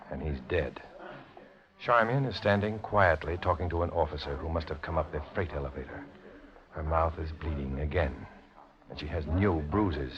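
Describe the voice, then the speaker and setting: An actor playing a tough, wry undercover agent in a 1950s radio noir drama, with clipped delivery. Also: male voice, low voice, highs cut off, background noise, natural voice. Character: hard-boiled male undercover agent posing as communist. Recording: band-limited old radio recording with music.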